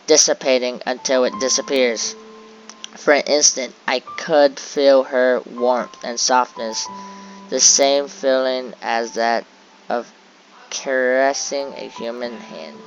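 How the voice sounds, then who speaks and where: Slow male narrator, dull lo-fi sound. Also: medium pitch. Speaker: visual novel reader. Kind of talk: dramatic first-person narration read aloud. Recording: dull lo-fi audio with music behind.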